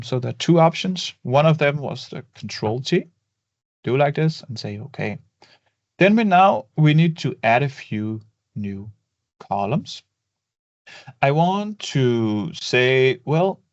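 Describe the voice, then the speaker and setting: A man narrates a screen-recorded spreadsheet lesson in a relaxed, step-by-step way, often pausing on fillers. His voice sits at an ordinary middle pitch. The recording is dry with no room sound, and a little dull in tone. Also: dry recording. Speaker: Excel tutorial instructor. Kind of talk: screen-recorded software tutorial. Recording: dry, slightly dull voice-over.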